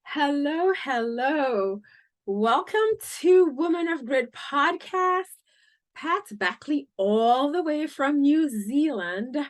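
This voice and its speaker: A woman hosting a podcast who speaks slowly and draws out her phrases. Her pitch swings widely as she talks, which makes her sound enthusiastic and animated in a friendly interview. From United States. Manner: enthusiastic, lively, drawn-out phrasing. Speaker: podcast host.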